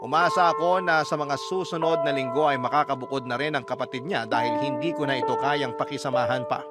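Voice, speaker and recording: A man's voice: medium pitch, fast talker. A man with a Filipino accent narrating a scripted first-person story as a radio storyteller. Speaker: radio storyteller reading a listener's letter. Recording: loud music under the narration.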